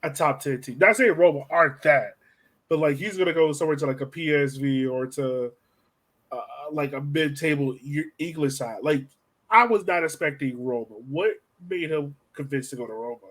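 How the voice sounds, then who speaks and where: A man with a voice a bit higher than average hosts a soccer discussion. He talks in a loose, conversational way, like someone chatting with a friend and steering the topics. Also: moderate pace, fairly bright tone. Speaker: podcast host. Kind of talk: casual chat, soccer discussion.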